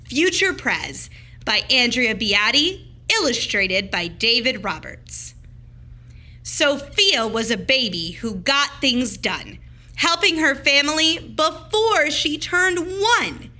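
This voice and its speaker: A woman teacher reading a picture book aloud to children in an expressive, deliberate, emphatic storytelling manner. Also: sits high.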